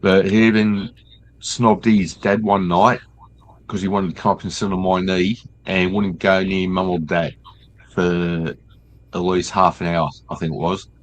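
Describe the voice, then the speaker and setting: A man's voice: sits low, level tone, a little slow, slight background noise, low-quality recording. An older man, chatting in a friendly way and telling family stories. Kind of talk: casual chat.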